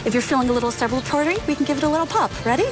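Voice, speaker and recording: Woman doing a tv wine demo as the guest sommelier, talks fast, music playing under her. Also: high voice. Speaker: guest sommelier and champagne educator. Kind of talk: explaining wine in TV demonstrations. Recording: music laid over TV clips.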